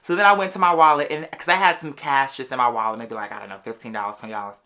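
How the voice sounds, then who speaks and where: Bright, sassy storyteller in full gossip mode. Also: mid-range voice, rapid-fire. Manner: animated, sassy, slangy, addressing listeners as "girl". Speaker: podcast or live show host. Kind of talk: casual storytelling monologue to an audience.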